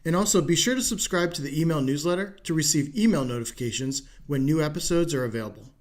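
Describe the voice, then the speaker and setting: Male podcast host, slightly high voice, polite and articulate, tidy presenting style. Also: fast. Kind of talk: interview hosting with scripted sign-off.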